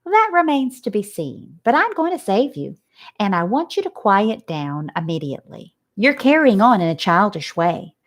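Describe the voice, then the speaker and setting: A woman voicing a calm, brisk female spider who speaks firmly and thoughtfully. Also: medium pitch, lightly acted. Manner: measured, firm and thoughtful.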